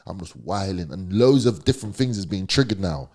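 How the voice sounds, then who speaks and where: A man with a London accent and a deep voice, telling a personal story as a podcast guest in slangy, emphatic conversation. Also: animated, fairly quick, a little mellow. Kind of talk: candid storytelling.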